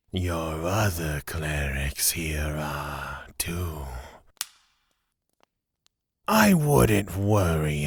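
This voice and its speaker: An actor playing a sinister god of fear in a fantasy audio drama, drawling with menacing calm and a gravelly, husky voice. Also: male voice, very deep voice, no echo, studio quality, heavily acted. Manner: slow, drawn-out, menacing calm.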